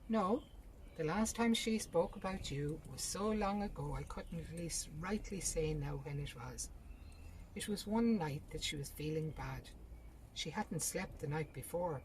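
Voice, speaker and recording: A woman's voice: low voice, darkish tone, slight background noise, rough recording. A woman voicing a practical, bossy, consoling sister in a short story.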